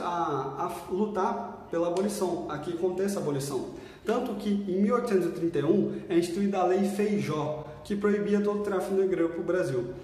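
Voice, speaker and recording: A man's voice: sits high, a little mellow, slight background noise. A man with a Brazilian Portuguese accent giving an informal recorded history lesson for exam prep, chatty and slangy, often checking in with his students. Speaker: history teacher. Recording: echoey room, distant mic.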